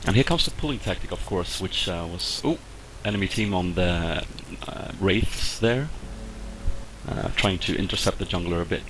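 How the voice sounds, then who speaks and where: A man's voice: deep voice, animated, moderate pace. A young Swedish man, not a native English speaker, doing casual video game commentary with a Swedish accent, hesitant and full of fillers. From Sweden. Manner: hesitant, lots of fillers and restarts. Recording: clear background noise with clicks.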